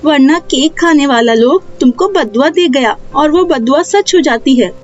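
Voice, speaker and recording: A woman's voice: sits high, lively intonation, a little slow, muffled recording, voice pushed up. A woman voicing an earnest, childlike poor little girl in a children's story. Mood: earnest explaining.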